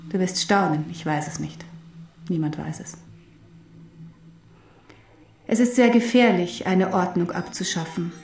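An actress in a German radio drama playing a knowing teacher who explains history in a deliberate, emphatic way. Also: female voice, lowish voice, darkish tone, reverberant, lightly acted. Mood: grave, earnest. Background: music.

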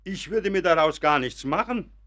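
A man's voice: fairly high pitch, lively intonation, moderate pace, sharp tone. A man, an actor in a German radio play, playing a kindly, slightly flustered professor who soothes and encourages in hesitant phrases. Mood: placating, encouraging. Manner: soothing, hesitant, repeats small words.

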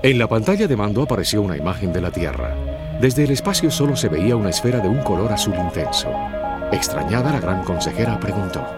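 A man's voice: on the low side, very animated, fast talker. A man narrating a scripted children's story. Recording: music behind.

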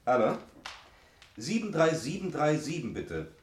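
Casting: polite, suave man, very lively intonation, 1960s radio play, roomy and faintly noisy. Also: a little high, a little slow. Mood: courteous, brisk. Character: suave, polite man hiding unease, Reggie. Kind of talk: 1960s German radio drama acting. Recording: roomy studio sound with faint noise.

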